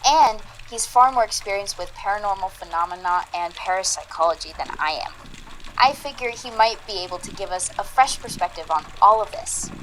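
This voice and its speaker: A woman's voice: medium pitch, a bit bright, a little hiss or hum, close to an everyday voice, rough recording. A woman playing an analytical, unflappable paranormal investigator in an audio drama, speaking in a methodical, precise way. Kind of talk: audio drama dialogue, measured theorizing.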